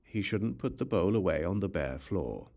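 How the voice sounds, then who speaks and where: A man narrating a scripture audiobook in a measured, instructional manner. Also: low-pitched, expressive, darkish tone. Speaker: audiobook reader.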